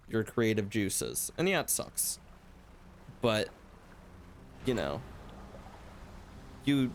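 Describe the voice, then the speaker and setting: Slow, rambling young man, a bit hoarse. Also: mid-range voice, a little hiss or hum. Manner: rambling, loose and reflective, many fillers. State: slightly hoarse from hours of talking. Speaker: podcast host. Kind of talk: casual solo ramble.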